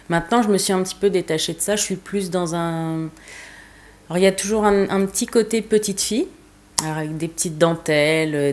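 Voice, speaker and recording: A young woman with a notably low-pitched voice, answering interview questions in a casual, meandering way. She hesitates often, restarts her sentences and pads them with fillers. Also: darkish tone. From eastern France. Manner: hesitant, many fillers, laughs easily. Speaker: fashion designer guest.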